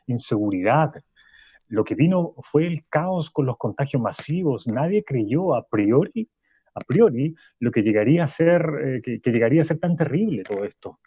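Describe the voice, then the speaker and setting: A man's voice: medium pitch, darkish tone, breathy edge, muffled recording. An actor playing a male professor guest who argues insistently, repeating himself and politely interrupting, in a radio drama.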